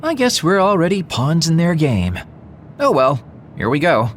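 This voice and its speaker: A sardonic young soldier, weary drawl. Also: male voice, on the high side, big pitch swings, normal speed, a little hiss or hum.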